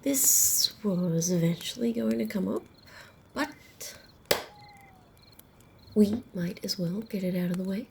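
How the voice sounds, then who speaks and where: A woman playing a haughty, sardonic female vampire in an ASMR roleplay, speaking softly and slowly, often slipping into a whisper, with a condescending, teasing drawl. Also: medium pitch, creaky, a little hiss or hum. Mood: hesitant, guarded. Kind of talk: ASMR roleplay acting, close and intimate.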